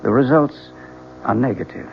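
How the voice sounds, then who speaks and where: Actor as a gravelly, formal, blunt doctor. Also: male voice, medium pitch, moderate pace, natural voice. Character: frank, formal male doctor breaking bad news. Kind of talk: radio drama acting. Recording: muffled, noisy old radio broadcast.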